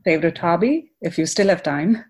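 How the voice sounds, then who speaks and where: A woman's voice: fairly low pitch, animated, brisk, darkish tone, breathy edge. A woman hosting a podcast, asking questions in an upbeat, encouraging way. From Chennai, India. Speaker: podcast host. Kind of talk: podcast interview.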